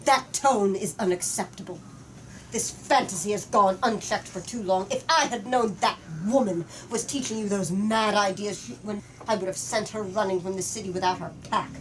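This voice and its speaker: A woman's voice: medium pitch, normal speed, natural voice, low-quality recording. A woman reading aloud as a stern, cold queen mother, in a clipped, scolding manner, recorded with noticeable room noise.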